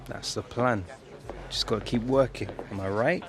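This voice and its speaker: An actor playing a cocky, keen young footballer with an English accent and matey, slangy speech in a game cutscene. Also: male voice, lowish voice, moderate pace, noisy background, lightly acted. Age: young adult. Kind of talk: video game cutscene acting. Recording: music behind the voice.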